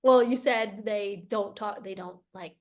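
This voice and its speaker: A woman's voice: mid-range voice, a little slow, a little mellow. A woman hosting an interview as a casual chat, informal, curious and enthusiastic. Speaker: interview host.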